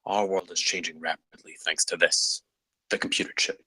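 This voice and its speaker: Man playing a deep, husky, wizened veteran designer. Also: flat delivery, normal speed, sharp tone, dry recording, heavily acted. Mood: showman-like. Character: wizened 66-year-old veteran designer, composed and focused. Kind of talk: script table read.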